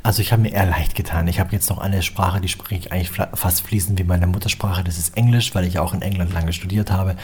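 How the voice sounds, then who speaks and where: A man's voice: low-pitched, steady tone, fast talker, few pauses, darkish tone, husky. A young man, a musician, talking casually and at length as an interview guest, with lots of filler phrases. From Germany. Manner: chatty, rambling, with filler phrases. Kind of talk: relaxed conversational interview.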